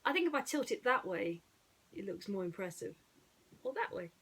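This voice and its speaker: A woman storyteller speaking in a casual, chatty way, often halting and repeating herself as she goes. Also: medium pitch, very animated, fairly bright tone, slightly rough. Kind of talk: casual chat and bedtime storytelling.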